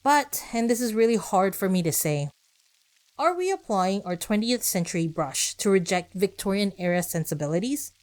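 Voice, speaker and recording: A woman's voice: medium pitch, sing-song, normal speed, a little mellow. A woman podcast host reading scripted narration with personal asides, speaking conversationally and directly to her listeners.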